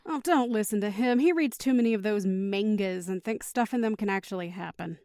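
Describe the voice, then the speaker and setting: A woman's voice: medium pitch, brisk, darkish tone, no echo, clean studio sound, lightly acted. A woman playing a caring, upbeat middle-aged mother in an audio drama, speaking in a chatty, reassuring way. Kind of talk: naturalistic audio drama acting.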